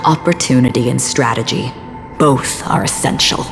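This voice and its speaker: A low-voiced, solemn swordswoman. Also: moderate pace, creaky. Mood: cool, solemn. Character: stoic, solemn swordswoman, enigmatic and composed. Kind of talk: video game story voice acting. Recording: long hall reverb, loud music behind. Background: game music.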